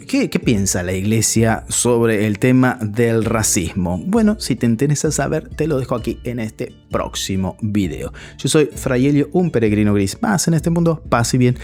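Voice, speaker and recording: Argentine friar talking to camera. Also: male voice, fairly low pitch, lively intonation, moderate pace, few pauses, a little mellow, faint music behind. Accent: Argentine Spanish. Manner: conversational, addresses viewer directly. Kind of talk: casual video commentary.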